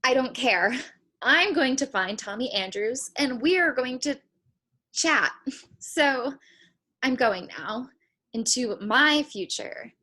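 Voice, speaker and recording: A woman playing a determined, hopeful young aspiring writer, speaking deliberately in a comedic table read. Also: high-pitched, expressive, sharp tone, heavily acted. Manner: deliberate, resolute.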